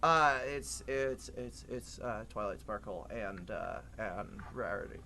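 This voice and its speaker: Male comedy sidekick, bright and halting, casual improvised banter, faint noise behind. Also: on the low side, lively intonation, vocal fry.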